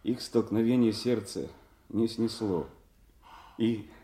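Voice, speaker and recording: An actor playing a noble, sorrowful young avenging brother in a Shakespearean audio drama, with grave, measured declamation. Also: male voice, lowish voice, mellow, echoey room. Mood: grieving, intense. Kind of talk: classical Shakespearean stage acting for audio drama.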